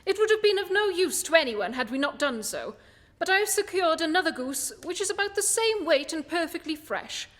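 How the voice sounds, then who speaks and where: A woman playing a brisk, courteous, shrewd British gentleman detective in a radio play, precise and self-assured. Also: very high voice, expressive, in a large hall. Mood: smoothly polite.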